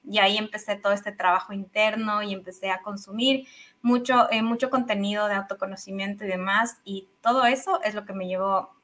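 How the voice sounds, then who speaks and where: A woman's voice: mid-range voice, fairly quick. A woman in her thirties from Ecuador with an Ecuadorian Spanish accent, a podcast guest chatting expressively about her coaching work over a video call. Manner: expressive, enthusiastic, many fillers and restarts. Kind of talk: casual interview chat. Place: home office with a big microphone. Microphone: studio microphone on video call.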